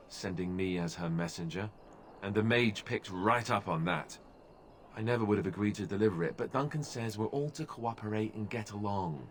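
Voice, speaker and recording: A man's voice: fairly low pitch, a little hiss or hum, lightly acted. An actor playing a young male warrior who was once a templar, speaking in a dry, wry way in a video game.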